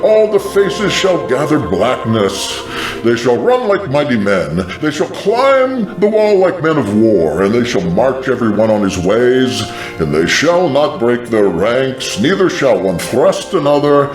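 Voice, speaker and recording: A man's voice: mid-range voice, big pitch swings, a little slow. A man voicing a biblical prophet proclaiming doom, declaiming scripture in a grand, ominous manner. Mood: ominous proclamation. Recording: echoing voice over music. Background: music.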